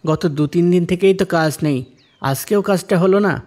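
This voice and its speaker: A man voicing a poor, patient, devout and generous husband in a moral-tale cartoon, speaking softly and humbly. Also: high voice, a little fast, close to an everyday voice. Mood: weary, worried.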